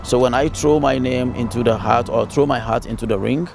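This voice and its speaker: Gravelly-voiced Ghanaian-born man, earnest. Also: mid-range voice, fairly quick, a little mellow, faint music behind. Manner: earnest, repeats phrases with fillers. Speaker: city councilor interviewed. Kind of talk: interview answers.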